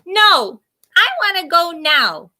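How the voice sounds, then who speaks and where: A very high, piercing little girl, played by a woman reading a storybook aloud, demanding and whiny. She wails insistently and stubbornly to get her way, in a bright, sharp voice. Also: big pitch swings, slow, full character voice. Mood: stubborn, pleading. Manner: shrill, insistent wailing. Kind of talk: children's storybook read aloud.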